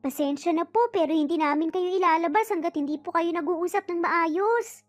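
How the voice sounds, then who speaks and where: A woman's voice: very high-pitched, normal speed, dry recording, faint music behind, voice pushed up. A woman voicing a cheeky, determined six-year-old boy in a story, polite and playfully insistent. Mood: playful insistence. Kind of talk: dramatized storytelling.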